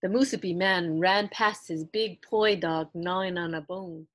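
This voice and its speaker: A woman in her thirties reading a children's story aloud in an expressive, deliberate storytelling style. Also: on the low side, dry recording, studio quality. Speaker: children's book reader. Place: small indoor room lined with books.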